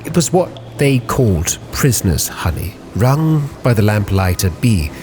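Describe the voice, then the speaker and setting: A man narrating a sea captain's log in a measured, literary, theatrical storytelling style. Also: lowish voice, big pitch swings, darkish tone, slight background noise. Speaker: roleplay storyteller, channel host. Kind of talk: dramatic scripted narration. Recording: prominent background music. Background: music.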